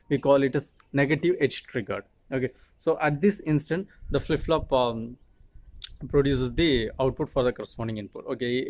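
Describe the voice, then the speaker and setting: A man in his twenties, a native Tamil speaker with an Indian accent, giving an explanatory lecture in a halting, repetitive way. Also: mid-range voice, a little mellow, no echo. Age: 20s. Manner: halting, repetitive, frequently checking with "okay". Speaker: teacher, video channel host.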